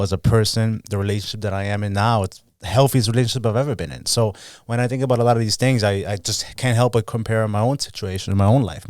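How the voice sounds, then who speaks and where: A man's voice: fairly low pitch, darkish tone, no echo, clean studio sound. A man in his thirties co-hosting a podcast, chatting in an upbeat way and often saying "you know" and "dude". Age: 30s. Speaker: podcast co-host. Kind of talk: casual motivational chat.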